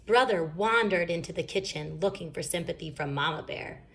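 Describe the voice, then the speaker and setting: A woman's voice: on the low side, normal speed, creaky, a bit bright. A woman reading a children's storybook aloud expressively, with dramatic emphasis. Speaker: story reader.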